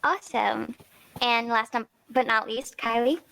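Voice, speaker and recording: Teenage girl, student co-host, very high voice, upbeat, polite. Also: measured pace, vocal fry, bright, forceful tone. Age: teens. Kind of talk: moderating a panel.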